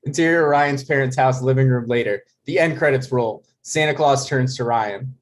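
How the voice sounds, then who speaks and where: A man's voice: medium pitch, moderate pace. A man reads scripted stage directions as narrator of a table read. His voice sits in a middle register, and he delivers scene headings and action lines.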